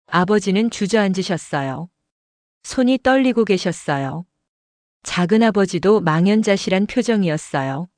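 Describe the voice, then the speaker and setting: A woman narrating an audio drama in the first person, in a calm storytelling manner, recorded close. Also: mid-range voice, normal speed, no room sound.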